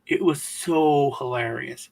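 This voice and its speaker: A man co-hosting a casual chat show, telling stories in a Southern American accent and jumping into high, loud voices when he mimics people. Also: slow, vocal fry, low-quality recording. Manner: storytelling, mimics voices with high-pitched bursts. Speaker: podcast co-host.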